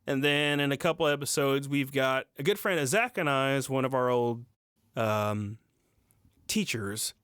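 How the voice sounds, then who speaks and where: A man co-hosting a casual music podcast, easygoing and quick to laugh, on a close microphone. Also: medium pitch, fairly bright tone, clean tone, no room sound. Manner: easygoing, laughs often, signs off in a host tone. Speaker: podcast co-host.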